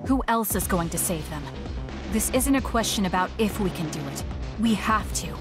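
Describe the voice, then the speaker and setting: A woman's voice: mid-range voice, lively intonation, normal speed, close to an everyday voice. Woman acting a composed, determined young female soldier. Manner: earnest, direct, firm. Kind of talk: dramatic anime video game voice acting. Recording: loud music under voice. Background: game soundtrack music.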